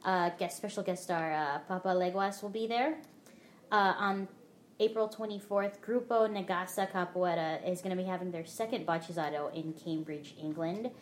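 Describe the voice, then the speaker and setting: A woman's voice: fairly low pitch, moderate pace, slight background noise. A woman hosting a casual podcast, chatty and full of fillers as she reads out listings. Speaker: podcast host. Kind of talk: casual chat.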